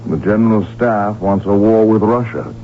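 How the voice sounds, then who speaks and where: A thin, humming vintage radio sound frames a proud archduke played by a male actor. His low voice is imperious and emphatic, that of an ambitious royal used to having his way. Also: normal speed, natural voice. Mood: proud, defiant. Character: proud, defiant, ambitious archduke, devoted husband. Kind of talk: radio drama acting. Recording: narrow, phone-like old radio recording with hum.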